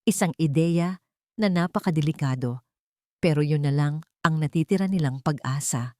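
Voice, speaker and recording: A woman's voice: sits low, normal speed, warm tone, dry recording, clean studio sound. A woman narrating a scripted drama story in a dramatic storytelling style.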